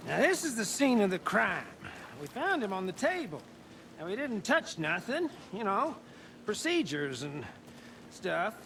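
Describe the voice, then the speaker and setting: A man's voice: high voice, sing-song, measured pace, gravelly, sharp tone, heavily acted. A man playing a chatty, folksy small-town official in a video game, talking in a colloquial, self-important way. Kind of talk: scripted game dialogue. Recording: faint background noise.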